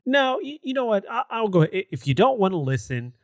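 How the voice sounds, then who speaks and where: A man's voice: high voice, sing-song, a little fast, no room sound, studio quality. A man co-hosting a gaming podcast, chatting casually in a conversational, rambling way. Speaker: podcast co-host.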